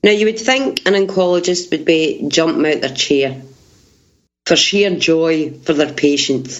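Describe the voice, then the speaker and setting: Woman, big loud voice, lowish pitch, lively storyteller, dull lo-fi sound. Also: unhurried. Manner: animated, laughs while telling her story. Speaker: interview guest. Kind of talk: casual storytelling.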